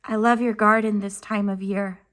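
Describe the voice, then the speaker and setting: Lady doing a excitable little kid from a picture book, high and quick, super eager. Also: natural voice. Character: eager, curious young child in a storybook.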